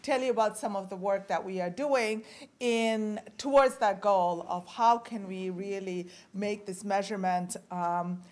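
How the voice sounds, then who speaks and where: A woman's voice: medium pitch, unhurried, darkish tone. A woman in her forties from Bangladesh giving an academic talk at a podium microphone, with frequent fillers and restarts. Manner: casual, many fillers and restarts. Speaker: guest lecturer. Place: lecture hall at a podium.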